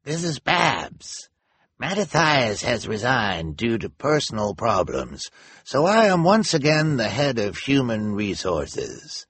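A man voicing a teenage girl posing as an officious hospital official, in slow, loud announcements for an audiobook. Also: mid-range voice, sing-song, breathy edge, dry recording, voice pushed up, heavily acted. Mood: officious, urgent. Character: teenage girl impersonating hospital human resources head on intercom. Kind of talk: audiobook character acting.

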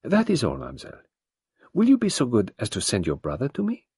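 A man reading an audiobook, voicing a calm older detective who speaks quietly and courteously. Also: medium pitch, quick pace, husky, no room sound, studio quality, voice pushed up, heavily acted. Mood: probing. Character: calm older male detective, gentle, probing.

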